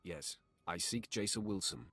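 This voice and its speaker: Deep, husky, swooping Sith warrior. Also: male voice, expressive, a little slow, bright, forceful tone, no echo, soft background music, exaggerated voice. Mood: cold confidence. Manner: cool, self-assured, menacing. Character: confident, fearless male Sith warrior. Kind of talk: video game voice acting.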